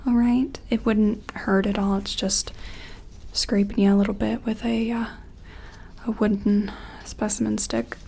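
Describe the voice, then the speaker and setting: A woman performing a gentle ASMR roleplay as an examiner, soft-spoken and often whispering, explaining things in a tentative, clinical way. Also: medium pitch, flat delivery, unhurried, gravelly, breathy edge.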